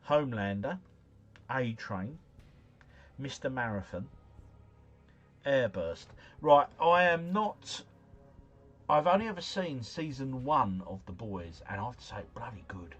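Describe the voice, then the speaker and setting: A man's voice: mid-range voice, lively intonation, a little slow, long pauses, a bit bright, dull audio. Chatty man hosting a quiz stream. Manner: chatty, jokey, reads chat messages aloud, mumbles foreign names. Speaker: livestream host. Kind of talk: casual livestream chat playing a quiz game.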